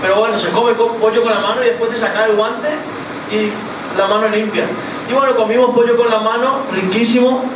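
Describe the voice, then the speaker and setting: Un hombre argentino de que cuenta con tono casual sus viajes a un público, con muchas muletillas y frases que empieza de nuevo. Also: male voice, high voice, fairly even intonation, brisk. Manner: rambling, with many fillers and restarts. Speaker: author presenting travel book. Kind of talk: casual storytelling to an audience. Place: book fair hall. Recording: echoey hall, distant mic, loud noise.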